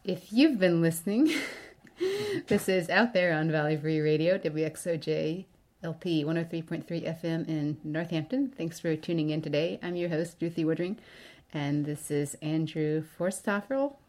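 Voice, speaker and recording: A woman's voice: low voice, moderate pace, a little mellow. A woman hosting a community radio interview show, attentive and friendly. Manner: attentive, brief backchannel agreement. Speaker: radio host.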